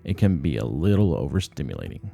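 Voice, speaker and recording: A man's voice: rumbling low, very animated, fast, gravelly, mellow, soft background music, exaggerated voice. A man voicing a stern, weary nun in a storybook reading, curt and disapproving. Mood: weary, resigned. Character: stern, weary nun who tames feral girls.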